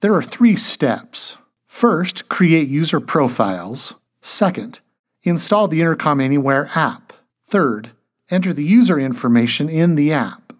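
A man reading scripted instructional narration as a tutorial narrator, in a measured, step-by-step way. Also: on the high side, lively intonation.

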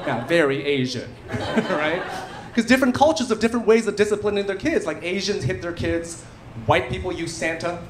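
Male comic, thirties, bright animated delivery with a high, swinging pitch, live stage recording. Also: normal speed. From Canada. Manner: animated, punchy delivery with dry asides. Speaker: stand-up comedian. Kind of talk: stand-up set. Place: theatre stage before a live audience. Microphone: handheld stage mic. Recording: live club recording with audience noise. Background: audience laughter.

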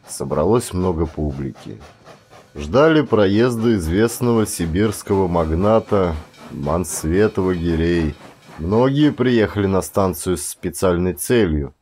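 Male audiobook narrator, low register, dramatic literary reading. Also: darkish tone. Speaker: audiobook narrator and stage actor.

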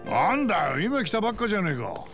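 An actor playing a gruff, businesslike middle-aged boss in a video game, talking bluntly in rough masculine speech. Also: male voice, high voice, big pitch swings, fast talker. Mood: gruff, chiding. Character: gruff, businesslike older male boss of a security firm. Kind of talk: Japanese video game voice acting. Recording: music playing behind the voice. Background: loud background music.

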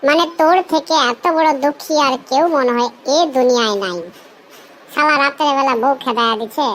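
Lady playing loudmouth crude cartoon dude, lustful, threatens a lot, squeaky high, noisy bg. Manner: crude, bullying slang. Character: blustering, lustful male cartoon friend, quick to threaten. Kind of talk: comic cartoon dialogue.

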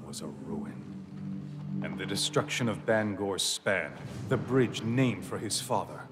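A man narrating a fantasy game story in a grave, measured tone. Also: low voice, expressive. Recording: music bed.

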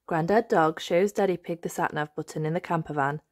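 A woman narrating a read-aloud story for young children, expressive and carefully enunciated. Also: fairly low pitch, fairly quick, dry recording, clean studio sound. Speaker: host and storybook reader.

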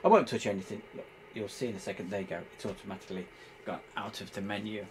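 A man giving an informal hands-on tutorial, explaining step by step in a halting, hesitant way. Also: fairly low pitch, steady tone, noisy background. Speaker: presenter demonstrating radio equipment.

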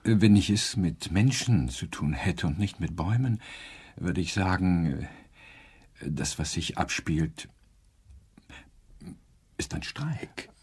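An actor playing a cautious, thoughtful older professor in a radio drama, speaking earnestly and hesitantly. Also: male voice, low voice, animated, takes it slowly, long pauses, darkish tone, husky, exaggerated voice. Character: cautious older male professor, father, thoughtful civil servant. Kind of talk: German radio drama acting.

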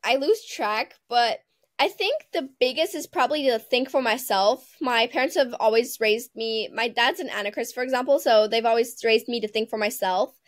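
A woman's voice: a little high, normal speed, no room sound, clean studio sound. A teenage girl with a mixed international English accent, chatting as a guest over a video call and laughing often. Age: teens. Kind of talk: casual interview. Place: home room.

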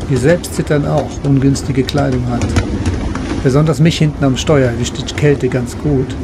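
A middle-aged man narrates as a skipper telling of his trip, with a mid-pitched voice. His delivery moves between written travelogue and off-the-cuff recollection. Music plays clearly behind him. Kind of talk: travel narration, partly scripted, partly spontaneous recollection.

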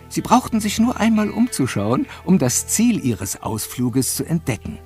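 A man's voice: medium pitch, vocal fry, a little mellow. A man narrating a children's adventure radio play as its storyteller.

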